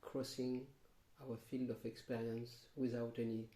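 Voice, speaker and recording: Calm guy doing guided meditation, mellow voice, super slow w long gaps, roomy echo. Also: medium pitch. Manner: calm, unhurried, long pauses between short phrases. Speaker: meditation teacher. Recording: roomy, echoing sound.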